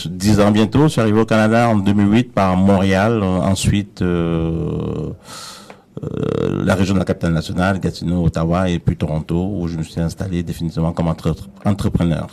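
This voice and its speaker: A man introducing himself in measured fashion as a guest on a studio panel. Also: low-pitched, creaky, darkish tone. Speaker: panel guest, chef and entrepreneur.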